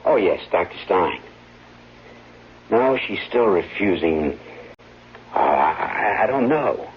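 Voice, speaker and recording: Casting: smooth scheming husband, very low voice, hugely animated swoops; old radio mystery, muffled sound. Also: male voice, slow pace, heavily acted. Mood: hesitant, smooth. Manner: coaxing, protesting, faltering earnestly. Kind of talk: old-time radio drama acting. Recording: muffled, hissy, humming old radio recording.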